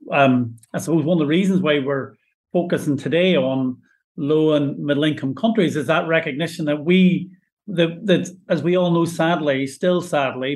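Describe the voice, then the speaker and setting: A man hosts an interview and asks long, winding questions, often stopping to rephrase. His voice sits a touch higher than most men's. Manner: rambling, self-correcting questions. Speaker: interview host. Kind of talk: hosting a panel interview.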